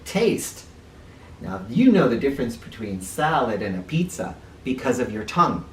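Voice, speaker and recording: A man reads aloud to children in a roomy space, a little far from the mic. His delivery is very lively in pitch and playful, with explanations and questions aimed at young listeners. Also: normal speed. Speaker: children's book reader and teacher. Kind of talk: animated read-aloud with asides for children. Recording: roomy, distant mic, faint noise.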